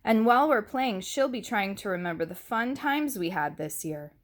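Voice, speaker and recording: A woman's voice: mid-range voice, animated, normal speed, close to an everyday voice. A woman voicing earnest young schoolchildren from a picture book, with a simple, lifted delivery.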